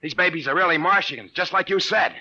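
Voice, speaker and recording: A man's voice: high voice, brisk, pushed and bright, full character voice. A man playing a brash, rattled private detective, slangy and blunt, in 1950s radio drama style. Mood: relieved, amazed. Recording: muffled vintage 1950s radio recording.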